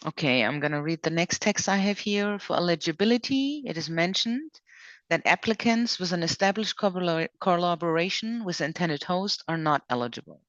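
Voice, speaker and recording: A woman's voice: lowish voice, expressive, brisk, a bit bright, dry recording. A woman presenting a programme in an informal online question session, reading questions out and explaining rules step by step. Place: online video conference call. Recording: dull online-call audio.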